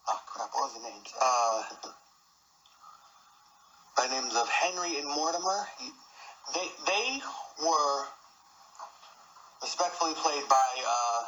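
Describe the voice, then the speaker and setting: A woman with a low voice talks through an explanation informally. She is halting and often searches for the right words, over faint noise on the recording. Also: pushed and bright, rough recording. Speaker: host and amateur drama producer.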